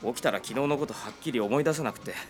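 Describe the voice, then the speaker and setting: An actor playing a friendly young man who speaks gently and casually, in a radio drama. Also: mid-range voice, racing, faint music behind, natural voice. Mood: gentle.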